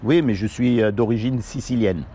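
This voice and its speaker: A man's voice: medium pitch, moderate pace, mellow. A man from Morocco doing casual one-on-one street preaching, earnest and pleading, full of everyday examples. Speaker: street evangelist. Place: outdoors on a city street.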